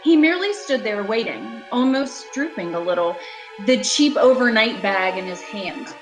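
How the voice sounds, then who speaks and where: A woman's voice: a little high, animated, moderate pace, close to an everyday voice, low-quality recording. A woman playing an uneasy, haunted motorist driving alone, telling her story dramatically in an amateur radio thriller. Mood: uneasy, reflective. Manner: dramatic first-person storytelling. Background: music.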